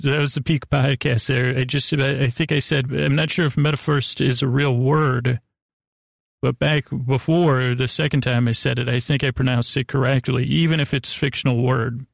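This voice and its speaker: A man with a creaky voice hosting a bedtime podcast in a meandering, halting, improvised monologue with soothing intent. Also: mid-range voice, no echo, studio quality. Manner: meandering, halting, stumbles over words, self-interrupting; soothing, lulling. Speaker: podcast host.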